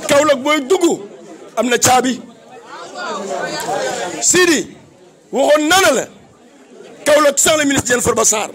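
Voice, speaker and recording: A man with a Senegalese accent giving an impassioned public praise speech, declamatory and emphatic, with repeated phrases. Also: extremely high pitch. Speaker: political activist giving a tribute.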